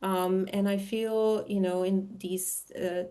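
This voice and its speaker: Woman, from Serbia, artist talk, halting and searching delivery, mid-range voice, frequent self-interruptions. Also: medium pitch, flat delivery, dark tone. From Serbia, former Yugoslavia. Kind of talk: artist talk presenting own work.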